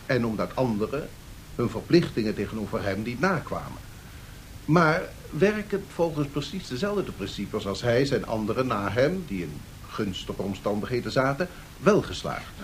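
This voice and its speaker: Lively, didactic actor as male professor. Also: close to an everyday voice, low-quality recording. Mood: explanatory, measured. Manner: didactic, deliberate, formal. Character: learned male economics professor, measured and cautionary. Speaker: professor answering questions. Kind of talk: radio play lecture, explanatory dialogue. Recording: 1970s radio recording with faint rumbling noise.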